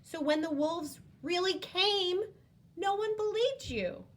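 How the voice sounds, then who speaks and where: A woman's voice: very high voice, lively intonation, slow, voice pushed up, heavily acted. A woman voicing a boy's stern father who lectures him firmly. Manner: firm, lecturing. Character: stern father of a young boy.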